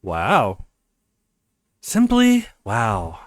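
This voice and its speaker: A man hosting a let's play, reading a visual novel aloud in an animated style. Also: medium pitch, drawn-out words, no echo. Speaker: let's play host reading all the parts.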